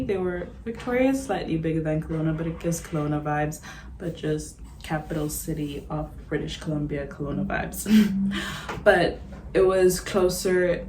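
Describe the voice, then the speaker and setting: A woman's voice: on the low side, darkish tone, roomy sound, slight background noise. A young woman from Zimbabwe, a vlogger talking casually to camera, chatty and a little self-conscious, often laughing. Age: young adult. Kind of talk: casual vlog monologue. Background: background music.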